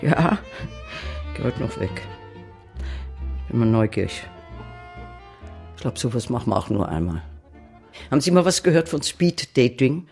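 Older man, lonely, unsure, gravelly, mid pitch, muttering, radio drama. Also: sing-song, measured pace, pauses often, music in the background. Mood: downcast, pensive. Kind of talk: naturalistic improvised radio drama.

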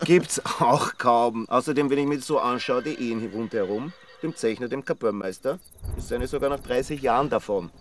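A man's voice: mid-range voice, moderate pace, recorded from a distance, slight background noise, close to an everyday voice. An actor playing a sardonic, gossipy village man in a radio drama, dry and knowing, with an Austrian accent. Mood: resigned, sceptical. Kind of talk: conversational radio drama acting.

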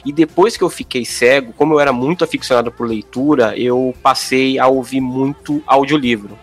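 A man with a Brazilian accent in casual podcast chat, talking haltingly and circling back mid-sentence. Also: mid-range voice. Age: over 28. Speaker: podcast panelist. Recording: faint music behind the voice. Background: faint music.

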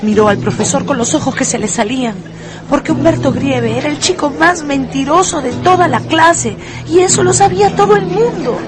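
A woman narrating a dramatised radio story in an expressive, deliberate, emphatic way. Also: high voice, over music.

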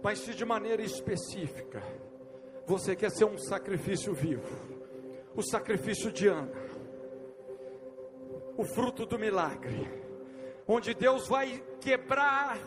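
A woman preacher leading a fervent prayer, impassioned and rising to shouts. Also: medium pitch, expressive, takes it slowly, long pauses. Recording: strong music behind the voice.

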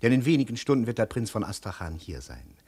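A man playing a sly, obsequious imperial chancellor in a 1950s radio play, with a breathy, husky voice and a smooth, insinuating manner. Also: lowish voice, big pitch swings, quick pace, a little mellow. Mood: smugly reporting. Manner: smooth, insinuating, theatrical, lapsing into whispers.

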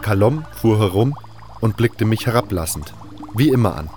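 A man's voice: on the low side, expressive, moderate pace, darkish tone, a little hiss or hum, natural voice. An actor playing a blunt, weary adventurer who narrates his own quest and speaks his lines in an audio drama. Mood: measured narrating. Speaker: narrator and protagonist. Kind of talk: scripted first-person audio drama narration and dialogue.

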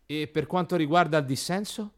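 A man's voice: fairly high pitch, fairly quick, lightly acted. An actor playing a polite, deferential male interviewer who questions a minister formally in a satirical radio play. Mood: formal, probing.

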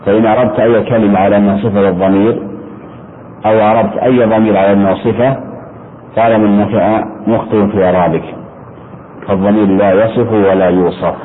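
A low-pitched man leads a grammar lesson in a didactic way, restating rules and quizzing his students. The sound is narrow and phone-like, with steady noise behind him. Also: fast talker. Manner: didactic, repeats points and quizzes. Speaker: religious scholar teaching Arabic grammar to students. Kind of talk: interactive classroom lesson, questioning students.

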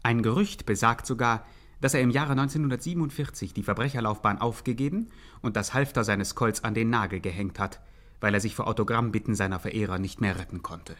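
A man's voice: lowish voice, a little fast, fairly bright tone, natural voice. A man playing a formal, mock-factual narrator in a satirical 1950s radio crime chronicle.

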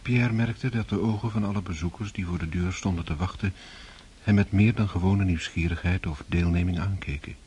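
A man reading scripted literary narration for a radio drama, composed and measured. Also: deep voice, darkish tone, husky. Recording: noisy old radio drama recording.

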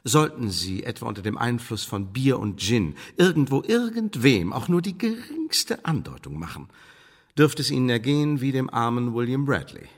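Elizabethan secret service chief, radio play, ornate officialese, veiled menace, medium pitch, reverberant room. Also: male voice, big pitch swings, moderate pace. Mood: officious, persuasive. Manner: formal, pompous, ornate officialese with veiled threats. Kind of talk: German radio drama acting.

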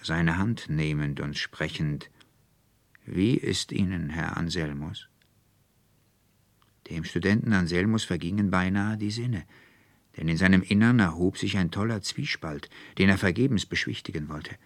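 A man's voice: sits low, moderate pace, no echo. A man reading a literary tale as an audiobook narrator, expressively and from a script.

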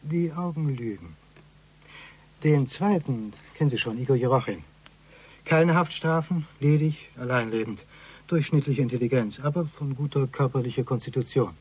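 Man acting a husky, pompous oddball informant. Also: medium pitch, moderate pace, a little mellow, lightly acted, low-quality recording. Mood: crisp reporting. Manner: stilted, flowery speech with misused learned words. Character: eccentric, pompous male ex-convict surveillance agent, eagerly obliging. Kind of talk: radio crime drama acting.

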